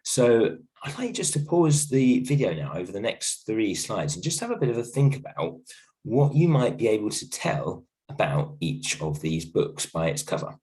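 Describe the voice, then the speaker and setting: A male teacher with a croaky voice from illness, giving a gentle, reassuring video lesson from home with many ums and restarts. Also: on the low side, big pitch swings, normal speed, poor audio. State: croaky voice from a cold, coughing. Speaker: primary school teacher. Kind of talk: recorded video lesson for a class.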